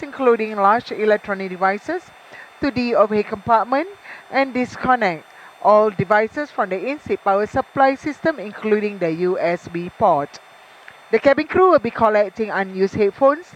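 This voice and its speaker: A woman's voice: medium pitch, highs cut off, slight background noise. A woman with a Malaysian accent reading scripted cabin announcements as a flight attendant.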